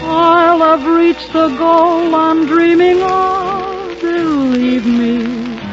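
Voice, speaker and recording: A woman's voice: very high voice, drawn-out words. A woman singing a sentimental 1940s ballad on an old radio broadcast, with music behind her. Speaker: singer. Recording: muffled 1940s radio recording, loud music. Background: musical accompaniment.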